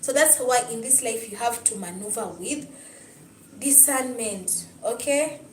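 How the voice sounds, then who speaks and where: A woman's voice: a little high, a little slow, reverberant, far from the mic, a little hiss or hum, poor audio. A young Kenyan woman with a Kenyan accent, hosting a casual livestream, reading comments aloud and telling a personal story. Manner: chatty, answers chat comments, storytelling. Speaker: livestream host. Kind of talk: casual livestream talk with viewers.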